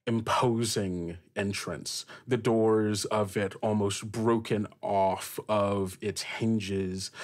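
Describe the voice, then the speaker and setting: Low-voiced man narrating as game master. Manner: deliberate, descriptive storytelling with questions to the player. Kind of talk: improvised narration of a tabletop roleplaying game.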